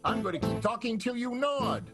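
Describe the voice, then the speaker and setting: A man's voice: extremely high pitch, expressive, normal speed, loud music behind the voice. A man hosting and narrating an improvised radio comedy in a theatrical, wry, teasing way. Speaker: narrator and host.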